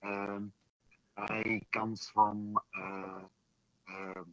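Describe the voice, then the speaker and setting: A hoarse South African man, a pastor, introducing himself on an online panel, with frequent filler pauses. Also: on the low side, level tone, bright, forceful tone, no room sound, faint music behind, rough recording.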